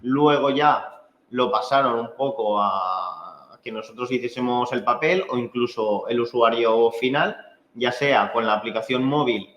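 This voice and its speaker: A man with a Spain Spanish accent giving an informal technical training, explaining in a halting, conversational way with frequent check-in tags. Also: medium pitch, fairly bright tone. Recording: echoey room, dull muffled sound.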